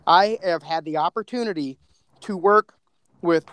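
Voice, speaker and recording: A man's voice: sits high, moderate pace, fairly bright tone, smooth, no room sound. A man chatting casually on a podcast as its co-creator, telling stories in a rambling way.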